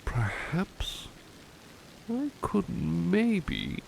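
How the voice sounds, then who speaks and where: A man playing a devoted, polite butler in an ASMR roleplay, speaking softly and hesitantly with courteous formality, often slipping toward a whisper. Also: high voice, huge pitch swings, extremely slow, gravelly, a little hiss or hum, full character voice, rough recording. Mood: courteous, warm. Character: devoted, affectionate, polite male butler comforting his companion. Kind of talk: ASMR roleplay, intimate personal attention.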